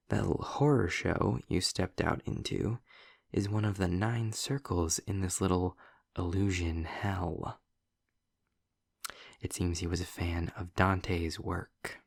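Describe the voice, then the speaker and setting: A man playing a sarcastic, articulate demon in an audio roleplay, with a gravelly, breathy voice and wry, formal delivery. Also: low voice, no echo, studio quality, heavily acted. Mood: wry, bitter. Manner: wry, formal wording, deliberate pauses. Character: sarcastic, snarky male demon, articulate and testy. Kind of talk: scripted audio roleplay monologue addressed to the listener.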